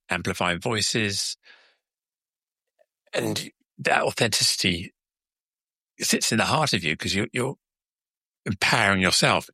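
A man's voice: fairly low pitch, pushed and bright, breathy edge, dry recording. A man hosting a conversational podcast interview, chatty and repeating words as he forms his questions. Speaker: podcast host.

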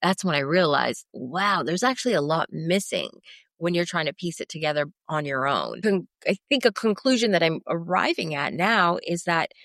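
A woman's voice: low voice, very animated, normal speed, fairly bright tone, no echo, clean studio sound. A woman in her forties, born in Afghanistan and raised elsewhere, answering interview questions in a reflective, thoughtful way. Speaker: guest.

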